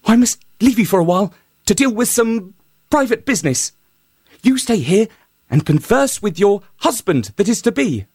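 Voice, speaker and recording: A man voicing a sly, courtly male hare trickster in a high, wheedling voice. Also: very animated, moderate pace, darkish tone, husky, no room sound, studio quality, heavily acted.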